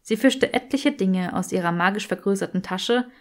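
A woman narrates a novel-style story for an audiobook. Her voice sits at an average pitch as she reads scripted prose. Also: moderate pace.

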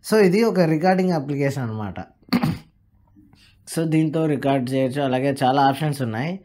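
A man's voice: medium pitch, quick pace, mellow. Man explaining a phone app. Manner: explains plainly, mixing English words into Telugu. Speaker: tech channel host. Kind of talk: screen-recorded app tutorial.

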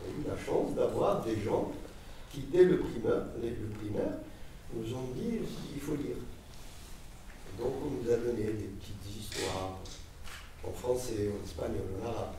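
A man's voice: mid-range voice, a little slow. An older man from Morocco speaking to a student audience in a seminar room, telling stories with many fillers and digressions. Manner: digressive storytelling, lively gestures, frequent fillers. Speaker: guest speaker. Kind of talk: informal lecture to students. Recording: echoey room, distant mic, faint noise.

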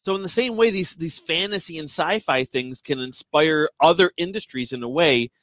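A man's voice: fairly high pitch, lively intonation, a bit bright, faint music behind. A man in his thirties hosting a casual podcast, explaining points with lots of fillers. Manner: explanatory, quick to agree, many fillers. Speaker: podcast host.

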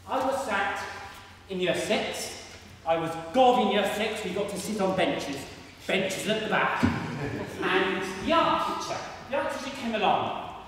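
A young man giving an energetic, self-deprecating maths demonstration on stage in a large hall, chatting with the audience while using a handheld mic that he sometimes puts down. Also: high-pitched, distant mic, a little hiss or hum. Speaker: maths performer at a variety show. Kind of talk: live stage talk with hands-on demonstration. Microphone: handheld mic, sometimes set down. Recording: echoey hall, voice picked up from afar.

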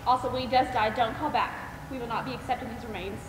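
Cold, high-voiced unforgiving mother played by a woman. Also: steady tone, quick pace, far from the mic, natural voice. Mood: cold, dismissive. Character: cold, unforgiving mother rejecting her son. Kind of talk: amateur stage play acting. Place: on a theater stage, distant camera. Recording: echoey theater hall from afar, noisy.

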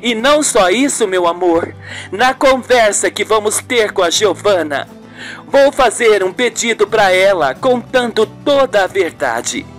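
A passionate radio soap heroine, put on by a solo performer, anguished and earnest. Her delivery is slow and melodramatic, with lively swings of pitch over a faint music bed. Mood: resolute. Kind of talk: radio soap opera acting. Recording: music behind the voice.

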